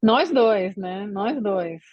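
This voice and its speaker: A woman's voice: mid-range voice, animated, drawn-out words, no echo, dull audio. A woman from Brazil, a podcast guest, chatting casually and rambling with many fillers and restarts. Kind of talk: casual interview chat.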